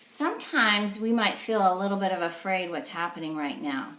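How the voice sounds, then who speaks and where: A woman's voice: mid-range voice, animated, moderate pace, fairly bright tone, reverberant. A woman reading a storybook aloud to young children as their teacher, explaining things simply and checking in with questions.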